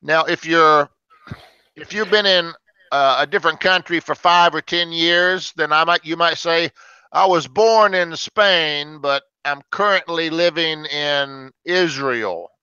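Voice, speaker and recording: A man's voice: fairly high pitch, unhurried, bright, forceful tone, clear, resonant tone, no room sound, highs cut off. An older man from Alabama teaching English conversation, patiently explaining with everyday examples to a group of learners. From Alabama, United States.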